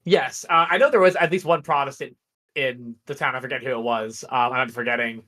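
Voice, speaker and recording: A man's voice: medium pitch, brisk, bright, forceful tone. A man speaking as a guest author in a casual livestream interview, explaining things in a thoughtful, rambling way.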